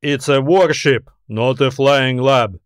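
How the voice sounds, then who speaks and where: A man's voice: medium pitch, slow, clear, resonant tone, dry recording, studio quality, natural voice. A man voicing a fearsome, irritable military admiral who speaks in a commanding, snide way in a science fiction audiobook. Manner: commanding, snide, barking questions.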